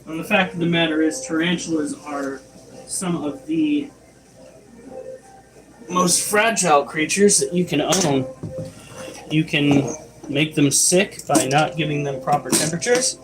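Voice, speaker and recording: Livestream host: man, slightly higher voice, unhurried, chatty explainer. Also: over music, rough recording. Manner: chatty, explains tarantula care while answering chat. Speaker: livestream host and pet keeper. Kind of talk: casual livestream chat.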